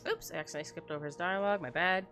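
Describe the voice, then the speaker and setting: A woman's voice: lowish voice, very fast, a bit bright, soft background music. A woman streaming a video game, chatting playfully and reacting aloud as she plays. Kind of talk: casual gameplay commentary.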